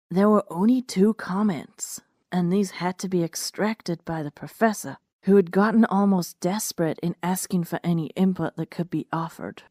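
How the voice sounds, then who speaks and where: A woman's voice: on the low side, a little mellow, dry recording, clean studio sound. A woman narrating a scripted first-person story as the host of a reading series.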